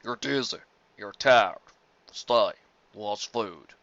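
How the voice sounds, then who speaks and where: A man voicing a big, taciturn, stern farm stallion with a gentle heart, speaking in clipped few-word sentences for a story. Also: on the low side, slow, no echo, lo-fi sound.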